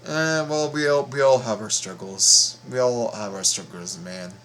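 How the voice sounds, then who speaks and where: A man's voice: medium pitch, a little slow, fairly bright tone. A man giving casual game reaction commentary as host, stammering and repeating phrases in slangy, profane talk. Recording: noticeable background noise.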